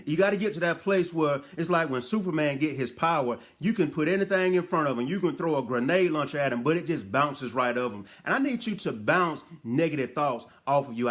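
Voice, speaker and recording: A man with a somewhat high-pitched voice delivers a motivational talk. He exhorts like a preacher, speaking straight to the listener with emphatic repetition and rhetorical questions. His phrasing is colloquial and folksy. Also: a bit bright.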